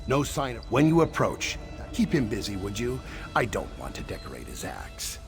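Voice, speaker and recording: Sneaky reptilian treasure hunter for a fantasy game: nervous, wheedling, creaky low voice, animated pitch. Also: male voice, moderate pace, heavily acted. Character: shifty, self-preserving male lizard-folk relic hunter with a tail. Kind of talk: fantasy video game voice acting. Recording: music behind the voice. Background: game music.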